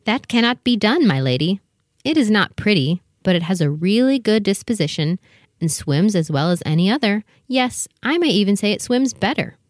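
A woman's voice: medium pitch, big pitch swings, normal speed, no echo, studio quality, lightly acted. Woman as fussy, proud storybook mother duck. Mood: defensive, reassuring. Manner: bustling, instructive, motherly. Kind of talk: full cast storybook dramatization.

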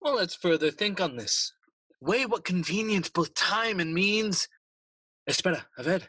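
Sly murderous king, kinda high husky voice, theatrical shakespeare radio play. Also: expressive, bright, forceful tone, no room sound, full character voice. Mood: plotting. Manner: theatrical, persuasive, deliberate. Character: scheming, smooth-talking king plotting murder. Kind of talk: bilingual Shakespearean audio play acting.

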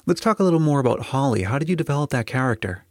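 A man's voice: mid-range voice, lively intonation, very fast, dry recording, studio quality. A man hosting an interview, asking prepared questions in an articulate, orderly way. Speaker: podcast host, interviewer.

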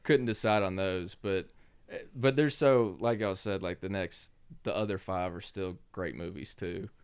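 Brief: host, late 20s, very low smooth voice, hesitant and halting, dry sound. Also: male voice, no echo. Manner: hesitant, restarts sentences. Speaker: podcast host. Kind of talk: casual podcast chat.

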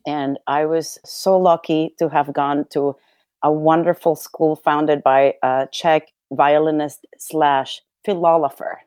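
A woman from Venezuela, talking as a guest in a casual interview, animated and quick with asides. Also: low voice, smooth, no echo. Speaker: guest violist.

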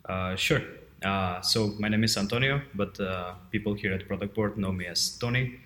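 A man's voice: on the low side, moderate pace, a bit bright. A man from Portugal, answering interview questions conversationally as a guest. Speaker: guest, head of quality assurance. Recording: roomy, echoey sound.

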